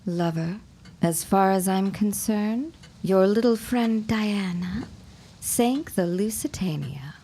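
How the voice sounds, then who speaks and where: A woman's voice: mid-range voice, slow, dark tone, slight background noise. Woman acting a sardonic 1910s secretary-mistress. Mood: sarcastic, jealous. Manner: sarcastic, drawling, theatrical. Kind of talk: audio drama acting.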